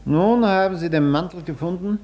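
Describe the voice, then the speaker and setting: A man acting a suave, sardonic gentleman interrogator in a German radio drama, polite on the surface while probing and mocking. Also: high-pitched, normal speed, natural voice. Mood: sly, probing.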